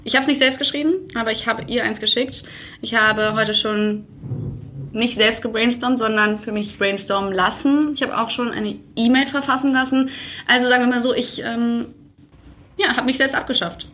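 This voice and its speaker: A woman's voice: fairly high pitch, steady tone, normal speed, a bit bright, slight background noise. A woman hosting a podcast, talking in a conversational interview style. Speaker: podcast host.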